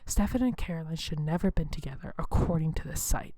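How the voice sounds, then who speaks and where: Softly whispering woman, breathy and close. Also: low-pitched, animated, fast, dry recording. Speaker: ASMR video host. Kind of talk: whispered ASMR talk.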